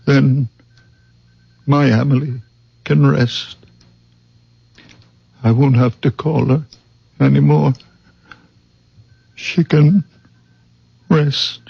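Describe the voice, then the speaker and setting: An actor playing a gentle, weary, very old man in a 1970s radio drama, speaking solemnly in halting, simple phrases. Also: mid-range voice, fairly even intonation, vocal fry, slightly rough, no echo, dull audio, exaggerated voice. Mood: tender, solemn.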